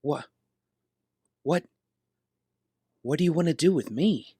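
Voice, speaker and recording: A man playing a guarded, defiant prisoner in an audio drama, with a husky voice. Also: a little high, lively intonation, slow pace, warm tone, heavily acted. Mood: wary, defiant. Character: wary captive young man, guarded and defiant.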